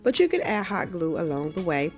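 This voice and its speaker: Casting note: a friendly woman with a lowish voice and a slight cold-rasp, relaxed crafting vlog chatter, soft music underneath. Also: fairly quick. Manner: friendly, chatty, frequent ums. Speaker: craft channel host. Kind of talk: casual craft tutorial narration.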